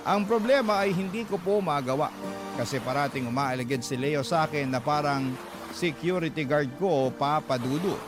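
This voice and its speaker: A man telling a first-person story as narrator of a radio drama, in a scripted storytelling style. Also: fairly high pitch.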